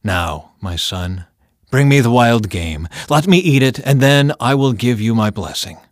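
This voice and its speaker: A man voicing an aged patriarch father in a Bible audiobook, solemn, slow and emphatic as he declaims. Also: medium pitch, expressive, dry recording, clean studio sound, close to an everyday voice. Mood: tender, testing.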